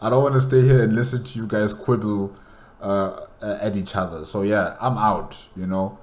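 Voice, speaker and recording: A man's voice: on the low side, fairly even intonation, normal speed. A man hosting a reaction video, thinking aloud in casual solo commentary.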